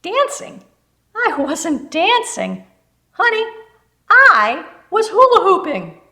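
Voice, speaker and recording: A woman's voice: very high voice, wildly swooping pitch, takes it slowly, pushed and bright, pitched above the performer's own voice, exaggerated voice. A woman voicing a kind, encouraging older neighbor lady from a children's storybook, folksy and affectionate. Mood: playful, excited. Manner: encouraging, folksy, calls listener honey. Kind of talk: children's storybook read-aloud.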